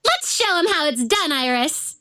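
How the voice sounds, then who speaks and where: High-voiced young game heroine. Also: female voice, sing-song, moderate pace, bright, forceful tone, exaggerated voice. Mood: bright, showy. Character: spirited young female monster trainers from a game. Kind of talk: short scripted game voice lines.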